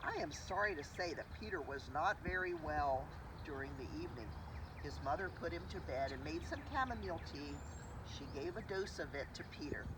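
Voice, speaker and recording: A woman reading a children's storybook aloud like a preschool teacher, with expressive, dramatic emphasis for young listeners. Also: sits low, brisk. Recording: distant mic with loud background noise.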